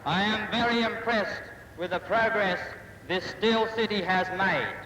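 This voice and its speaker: A man's voice: sits high, unhurried, pushed and bright, faint music behind. Distant, echoing man giving ceremonial speech. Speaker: public speaker at a ceremony. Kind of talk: formal ceremonial address. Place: outdoor civic ceremony. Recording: echoing distant public address, faint noise.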